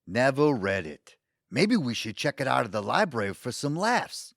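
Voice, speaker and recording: An actor playing an easygoing, down-to-earth, smitten suitor who jokes gently in an audio play. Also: male voice, medium pitch, very animated, moderate pace, gravelly, no echo, clean studio sound. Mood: playful. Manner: folksy, teasing. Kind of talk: audio theatre acting.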